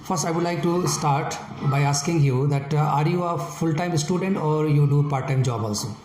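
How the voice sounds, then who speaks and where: A man with a Nepali accent acting as a speaking-test examiner, asking formal interview questions slowly, with hesitant fillers. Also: fairly high pitch, darkish tone, soft background music. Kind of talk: scripted exam interview questions. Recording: echoey room with faint noise.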